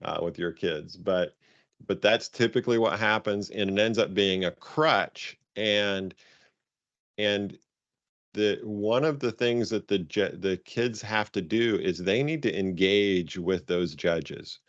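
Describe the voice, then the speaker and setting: A man giving informal guidance to coaches on a conference call, halting and rephrasing as he goes. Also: lowish voice. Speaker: robotics coaching advisor leading a conference call. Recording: dull, roomy conference call audio.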